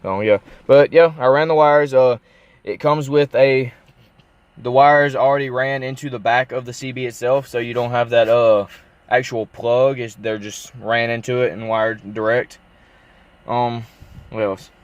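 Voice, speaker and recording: Casual man with a clear, smooth voice. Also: medium pitch, steady tone, measured pace, lo-fi sound. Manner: informal, unscripted, thinking aloud as he goes. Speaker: car vlogger. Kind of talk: casual show-and-tell vlog.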